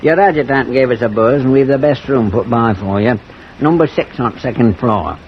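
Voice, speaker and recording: Male actor, British village innkeeper, chatty, obliging, folksy, medium pitch, vintage radio drama, lo-fi. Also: moderate pace, close to an everyday voice. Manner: folksy, eager to please. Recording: muffled old radio recording, faint noise.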